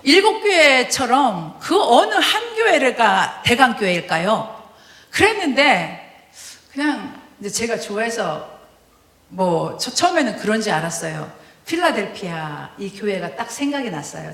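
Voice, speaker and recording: A woman from Korea with a Chungcheong accent, giving a lively testimony sermon as a guest preacher, full of fillers and laughs. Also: fairly high pitch, a little slow, roomy sound. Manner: animated, laughing, many fillers. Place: church sanctuary.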